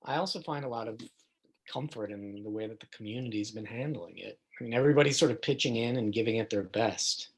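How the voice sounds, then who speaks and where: A man's voice: lowish voice, fast talker, breathy edge, poor audio. A man playing a proud, appreciative member of a school community in documentary theater, sincere and conversational. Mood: proud, thankful.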